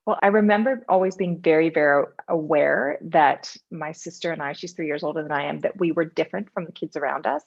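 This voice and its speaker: Middle-aged Canadian woman, Canadian accent, low gravelly voice, muffled lo-fi sound; reflective guest. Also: a little fast, hardly any pauses. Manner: reflective, storytelling with frequent "you know". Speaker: podcast guest. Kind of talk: casual conversational interview. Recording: muffled lo-fi audio.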